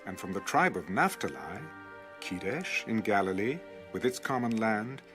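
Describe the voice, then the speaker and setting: A man reading Bible passages aloud in a measured, even recitation, as an audio Bible narrator. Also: fairly low pitch. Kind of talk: scripted scripture reading. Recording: music bed under the reading. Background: background music.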